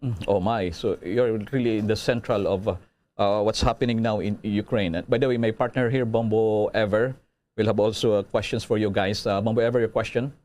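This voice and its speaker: A man's voice: lowish voice, steady tone, a little fast, a little mellow. A man with a Filipino accent hosting a radio interview, hesitant, with many fillers. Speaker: news anchor.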